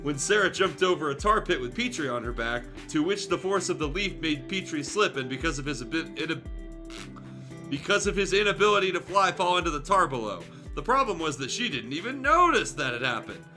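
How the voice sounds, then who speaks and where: A man with a very bright, fairly high voice reads aloud on a livestream. He slips between narration and joking asides in a sardonic, casually sweary way, with guitar music behind him. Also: expressive, fairly quick. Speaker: streamer reading fan fiction aloud. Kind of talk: livestream read-aloud with joking off-the-cuff commentary.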